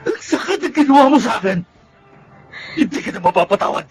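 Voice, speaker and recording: Wounded Filipino husband, high-pitched, dramatic. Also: male voice, sing-song, fast talker, vocal fry, darkish tone, heavily acted. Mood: hurt, furious. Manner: emphatic, accusing, dramatic. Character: betrayed, hardworking Filipino husband, bitter and wounded. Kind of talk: melodramatic radio-style drama acting. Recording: dramatic music behind the voice.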